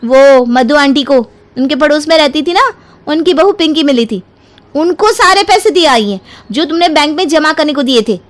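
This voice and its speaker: Woman acting high-pitched exasperated young daughter-in-law. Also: normal speed, soft background music, close to an everyday voice. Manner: emphatic, dramatic complaining.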